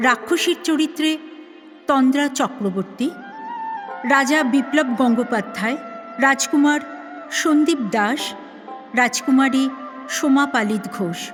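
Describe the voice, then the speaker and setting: A woman's voice: high-pitched, measured pace, pauses often, big-room sound, over music. A woman reading scripted credits as the announcer of an audio drama.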